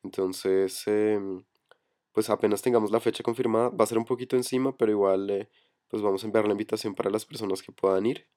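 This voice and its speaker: A hoarse man with a cold, running an online voice chat meeting and speaking hesitantly with many fillers. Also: on the low side, steady tone, brisk, darkish tone. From Colombia. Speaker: meeting coordinator. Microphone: computer headset for voice chat.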